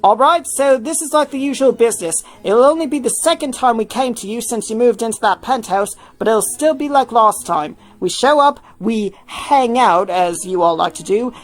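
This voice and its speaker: A woman's voice: fairly high pitch, lively intonation, moderate pace, hardly any pauses, close to an everyday voice. A woman voicing a bossy, no-nonsense man from a rock band in a story reading. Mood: businesslike. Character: Tim, bossy no-nonsense male band member.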